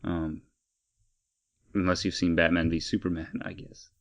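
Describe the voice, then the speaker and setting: A man's voice: low voice, flat delivery, a little slow, fairly bright tone. A man co-hosting a casual pop-culture podcast, talking in an opinionated, emphatic and rambling way. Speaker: podcast co-host.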